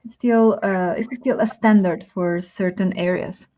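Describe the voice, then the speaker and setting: Woman, Spanish accent, chatty teacher guest, thinks aloud, mid pitch, a bit lo-fi. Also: steady tone, measured pace, warm tone. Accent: Spanish-speaking. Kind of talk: casual chat on a live stream.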